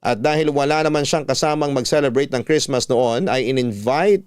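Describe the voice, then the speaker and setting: A man's voice: medium pitch, normal speed, a little mellow, clear, resonant tone, dry recording. A man with a Filipino accent narrating a scripted personal story as a storyteller on a close studio mic. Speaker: narrator reading a listener's letter.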